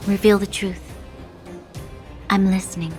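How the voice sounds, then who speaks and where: A woman's voice: medium pitch, flat delivery, unhurried, soft background music, natural voice. A woman playing an earnest, resolute young woman in a video game cutscene. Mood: solemn, resolved.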